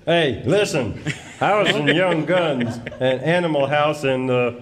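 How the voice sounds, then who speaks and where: Slow, dim, boastful dogie. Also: male voice, on the high side, fairly bright tone. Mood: bragging. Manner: slow, halting. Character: dim, eager dogie bragging of movie roles. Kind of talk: parody radio testimonial. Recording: reverberant stage, faint noise.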